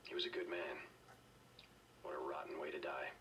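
An actor playing a gruff, stoic cyborg agent in a video game, with flat, terse delivery. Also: male voice, extremely low pitch, moderate pace, distant mic. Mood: flat sympathy. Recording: game audio filmed off a TV, faint noise.

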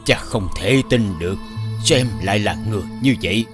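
A man playing a stern, disappointed king in an animated fairy tale, grave and authoritative. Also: fairly low pitch, moderate pace, music in the background, natural voice. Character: stern, disappointed old king, commanding father.

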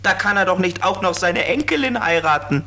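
An actor voices a little know-it-all flying creature in a children's audio drama. The delivery is playful and very animated, explaining things with cheek. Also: mid-range voice, brisk. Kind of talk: children's radio play acting.

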